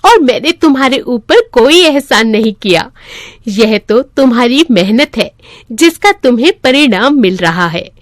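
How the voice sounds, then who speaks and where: A woman's voice: a little high, expressive, measured pace, dry recording, clean studio sound. A woman voicing a loving mother in a story, encouraging and fondly chiding. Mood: fond scolding. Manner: affectionate, chiding.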